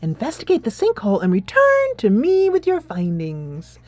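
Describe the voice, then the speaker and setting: A woman's voice: a little high, wildly swooping pitch, normal speed, dry recording. A theatrical schoolgirl sleuth in a video game, as voiced by a woman, pompous and formal. She declaims grandly in a raised, swooping voice, close and dry. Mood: grandly ominous.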